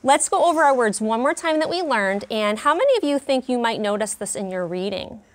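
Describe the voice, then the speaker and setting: A woman in her forties teaching a class of young children, speaking slowly and expressively in an elementary classroom. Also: a little high, lively intonation, slight background noise. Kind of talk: classroom read-aloud and teaching.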